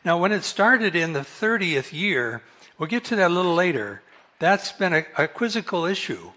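Soft-spoken guy, bit high voice, laid-back bible study talk, laughs at his own slips. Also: moderate pace. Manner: relaxed, self-correcting, chuckles often. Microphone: amplified microphone.

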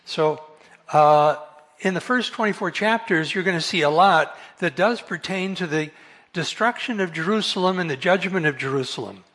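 Soft-spoken guy, bit high voice, laid-back bible study talk, laughs at his own slips. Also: normal speed. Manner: relaxed, self-correcting, chuckles often. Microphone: amplified microphone.

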